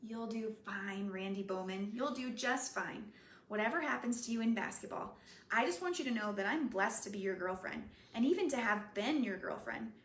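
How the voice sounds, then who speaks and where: A woman reading the part of a spirited, caring teenage girlfriend, teasing and unhurried, in an echoey room. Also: a little high, fairly bright tone, slight background noise. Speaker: reader.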